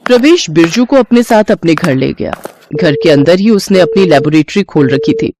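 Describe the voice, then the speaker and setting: A woman's voice: lowish voice, lively intonation, moderate pace, background noise. A woman narrating a scripted story as the storyteller.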